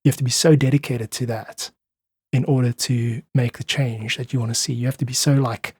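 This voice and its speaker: A man's voice: medium pitch, fairly even intonation, a little fast, darkish tone, slightly rough, no room sound, clean studio sound. A man talking as a musician guest on a podcast, reflective and earnest. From South Africa. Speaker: interview guest, independent musician. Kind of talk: reflective conversational podcast talk.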